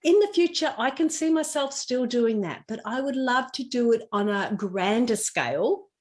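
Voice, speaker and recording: A woman's voice: a little high, expressive, normal speed. A woman with an Australian accent giving chatty, earnest answers as a podcast guest, explaining things through everyday examples. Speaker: podcast guest, intuition teacher and digital marketer. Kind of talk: conversational interview answers.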